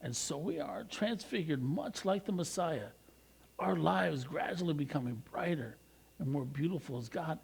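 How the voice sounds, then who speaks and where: An American man, a pastor, reading scripture aloud expressively with emphatic, deliberate phrasing. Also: medium pitch, creaky, breathy edge, rough recording.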